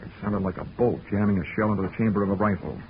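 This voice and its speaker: A man's voice: lowish voice, fairly even intonation, rapid-fire, noisy background, natural voice. A man playing a wry, hard-boiled private investigator in sardonic 1940s radio style. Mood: dry narration. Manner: sardonic, wisecracking. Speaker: narrating lead of a detective radio serial. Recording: tinny, band-limited 1940s radio recording with music.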